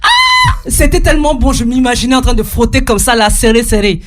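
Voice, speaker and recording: A woman hosting a radio show in a studio, playful and quick to laugh. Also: fairly high pitch. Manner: playful, laughing, sings along. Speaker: radio host. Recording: loud music behind.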